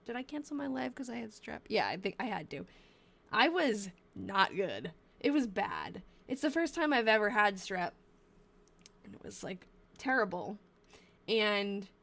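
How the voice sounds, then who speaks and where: A woman's voice: mid-range voice, a bit bright. Chatty young woman with a stuffy nose. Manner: chatty, breaks off mid-sentence, reads short diary lines then comments. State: stuffy nose, losing her voice. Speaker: livestream host reading old diaries. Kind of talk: casual live-stream chat while reading diary entries aloud.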